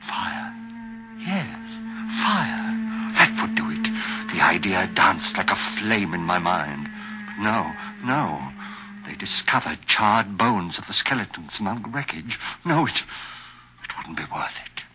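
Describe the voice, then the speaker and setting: An actor playing a guilt-ridden, murderous husband in a melodramatic old radio thriller, brooding and given to frantic outbursts. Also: male voice, on the low side, very animated, a little slow. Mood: desperate agitation. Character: guilt-ridden murderous husband, desperate, haunted recluse narrating his crime. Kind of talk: 1940s radio thriller acting, dramatic first-person confession. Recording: muffled vintage 1940s radio recording with music.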